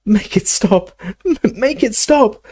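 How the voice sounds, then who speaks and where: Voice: medium pitch, big pitch swings, a little slow, dark tone, slightly rough. A storyteller voicing an old male wizard professor in anguish, begging and delirious as in an audiobook. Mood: terrified pleading.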